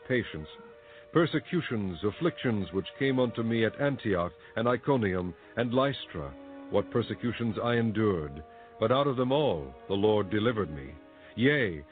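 A man reciting a Bible passage in a steady, measured, formal reading. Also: on the low side, narrow, tinny audio. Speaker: scripture reader.

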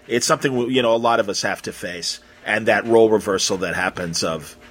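A man's voice: lowish voice, brisk, fairly bright tone. An American man from Chicago interviewing someone in a casual, informal way, musing and rambling as he goes. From Chicago, United States.

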